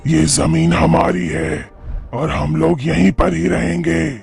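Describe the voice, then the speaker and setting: A vengeful female ghost from a horror cartoon, acted out by a man, with a gravelly, dark voice. She threatens and commands. Music plays behind her. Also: fairly high pitch, flat delivery, takes it slowly, full character voice. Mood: menacing. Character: vengeful female ghost possessing a young woman, menacing.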